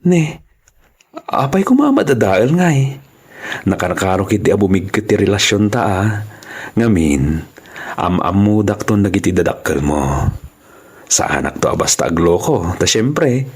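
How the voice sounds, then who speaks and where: A man's voice: fairly low pitch, very animated, measured pace, pauses often, a little mellow, husky, exaggerated voice. A man with a Filipino accent playing a confident, joking young boyfriend in a radio drama. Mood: assured, playful.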